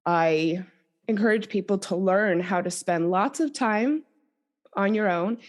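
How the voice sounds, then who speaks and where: A woman's voice: medium pitch, unhurried. A woman speaking as a coach and podcast guest, explaining her approach conversationally and thoughtfully.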